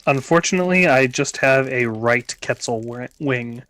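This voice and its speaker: A man's voice: mid-range voice, normal speed, fairly bright tone. A man taking part in a casual livestream chat over a group video call. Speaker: livestream participant.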